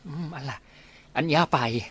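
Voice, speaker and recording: A man playing a gentle, anxious old grandfather in a Filipino-accented radio drama. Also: a little high, a little slow, breathy edge. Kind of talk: Philippine radio drama acting.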